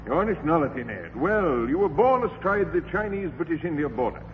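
Suave scheming con man, sly, lively high voice, old radio broadcast hiss. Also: fairly quick, big-room sound, phone-quality sound. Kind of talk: 1950s radio comedy acting.

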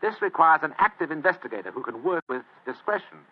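An actor playing a pompous, languid, shrewd English gentleman of authority, measured and deliberate, in an old radio drama. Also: fairly high pitch, expressive. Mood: grave, deliberate. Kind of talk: old radio mystery acting. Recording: tinny old radio recording.

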